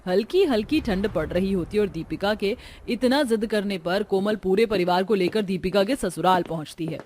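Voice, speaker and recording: A woman's voice: mid-range voice, moderate pace, darkish tone. A woman narrator reading a scripted storybook tale for a cartoon.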